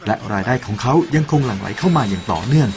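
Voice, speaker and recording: A man's voice: on the low side, expressive, very fast. A man narrating a parable in an earnest, persuasive way and speaking directly to the listener. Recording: soft music behind the voice.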